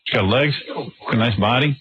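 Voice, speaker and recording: A man's voice: mid-range voice, normal speed, breathy edge. A man hosting a radio talk show, teasing his guests and pushing them with blunt questions. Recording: slightly dull broadcast with crowd noise.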